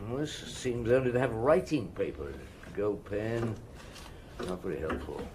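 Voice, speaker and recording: An actor playing a shrewd, world-weary male chief inspector in a detective audio drama, measured and probing. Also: lowish voice, noisy background, lightly acted. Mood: wry, curious. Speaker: lead actor and narrator.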